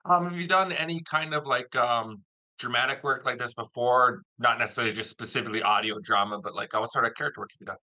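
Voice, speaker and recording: Guy doing a casual interview, super bright sharp voice, mid pitch. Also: quick pace, low-quality recording. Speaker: interviewer, audio drama producer.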